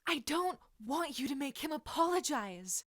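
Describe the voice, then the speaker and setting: A woman's voice: sits high, big pitch swings, a little slow, a bit bright, dry recording, studio quality, voice pushed up, exaggerated voice. A woman voicing a proud, hurt teenage witch girl with a cutting, indignant manner and a slight tremor. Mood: furious.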